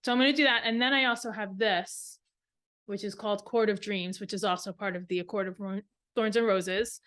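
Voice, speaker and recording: A woman's voice: mid-range voice, fairly even intonation, brisk, a bit bright. A woman co-hosting a craft podcast, talking casually in a show-and-tell style and rambling as she thinks aloud. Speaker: knitting podcast co-host.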